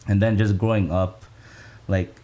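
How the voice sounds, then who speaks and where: Young adult male guest, deep voice, relaxed and halting, casual chat. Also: a little hiss or hum. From Malaysia. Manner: laid-back, halting with many restarts. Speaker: podcast guest.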